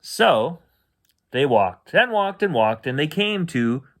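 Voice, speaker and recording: A man telling a children's bedtime story. His voice sits a little high and moves in very lively, animated swings as he narrates. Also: slow pace.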